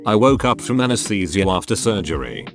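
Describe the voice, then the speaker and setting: A man's voice: on the low side, big pitch swings, brisk. A man reading out forum stories as narration over background music. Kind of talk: read-aloud narration.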